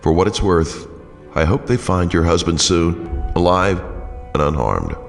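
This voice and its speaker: Man as a brooding investigator, very low gravelly voice, terse, long reverb, audio drama. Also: animated, a little slow, exaggerated voice. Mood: grim suspicion. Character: grim, suspicious male investigator, terse and probing. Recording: long cavernous reverb, faint music.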